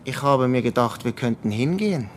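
Radio drama: male actor as a guarded, terse man, mid-pitched, naturalistic delivery, crows and noisy ambience behind. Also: expressive, fairly quick, lightly acted. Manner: clipped, evasive short answers. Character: terse, guarded man reading news aloud to a woman.

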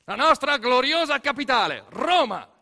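A man's voice: very high voice, big pitch swings, moderate pace, creaky, bright, forceful tone, long reverb tail, pitched above the performer's own voice, full character voice. A man voicing a pompous fascist party official, declaiming commands from a rally stage in a radio theatre piece. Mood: pompous, commanding.

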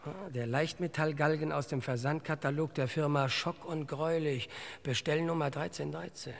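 An actor playing a grumbling, cheeky working-man sidekick who makes blunt deadpan wisecracks in an old radio crime comedy. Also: fairly high pitch, normal speed, gravelly. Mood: cheeky, dry. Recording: reverberant old radio play, faint hiss.

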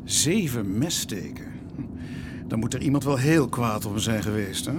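A man playing a weary, brisk, dryly sardonic police inspector in a radio drama. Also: medium pitch, animated, background noise, natural voice. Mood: businesslike, dry. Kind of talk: Dutch radio drama acting.

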